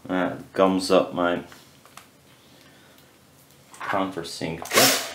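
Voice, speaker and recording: Low-voiced man muttering in a noisy room. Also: little pitch movement. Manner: muttering, halting, thinking aloud. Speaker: DIY maker. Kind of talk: casual workbench commentary while building. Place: indoor workshop at a cluttered workbench. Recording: roomy echo, loud workshop noise, clicks.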